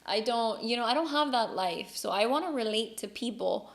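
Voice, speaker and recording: A woman's voice: a little high, lively intonation, moderate pace, creaky. A woman from Cuba, a native Spanish speaker, hosting a casual conversational podcast in an earnest, emphatic way. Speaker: podcast host.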